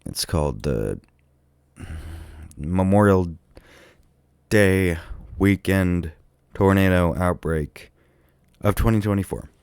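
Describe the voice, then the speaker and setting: Very deep, gravelly-voiced man with a cold. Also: lively intonation, darkish tone, no echo, studio quality. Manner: hesitant and musing, many ums and trailing pauses. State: sick with a cold. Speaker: vlogger touring tornado damage on a map. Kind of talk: casual thinking-aloud commentary.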